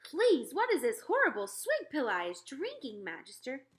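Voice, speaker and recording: A woman puts on a huge, friendly storybook giant, childlike and excitable. The voice is high and swoops around, mangling words and grammar with glee. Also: normal speed. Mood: excited, exclaiming.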